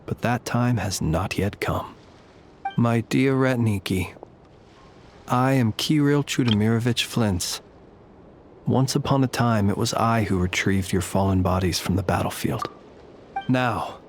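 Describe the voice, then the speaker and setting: A man voicing a courtly lantern-bearer who lays ghosts to rest, speaking in a formal, measured, poetic way. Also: mid-range voice, slight background noise, lightly acted. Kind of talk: video game voice acting.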